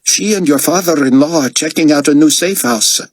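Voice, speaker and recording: Man doing a polite pastor, lifted in pitch, husky, dry. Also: moderate pace, no room sound, close to an everyday voice. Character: tall, willowy male pastor.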